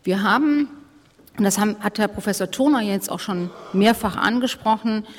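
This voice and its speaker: A woman chairs a panel discussion from a conference stage. Her delivery is brisk and wry, and she keeps the talk moving. Her pitch sits in the middle range, and the voice carries the long reverb of a large hall. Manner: brisk, wry, hurries speakers along. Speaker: panel moderator. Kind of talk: moderating a live panel discussion.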